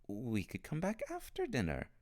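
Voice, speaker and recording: A man's voice: mid-range voice, huge pitch swings, moderate pace, a little mellow, pitched above the performer's own voice. A man voicing a young girl in a storybook reading, polite, sensible and a little anxious. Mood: polite pleading.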